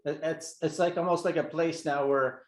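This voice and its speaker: A man hosting a casual interview, asking questions in a halting way with restarts and "like" fillers. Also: on the high side, fairly even intonation. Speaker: interview host. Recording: roomy echo.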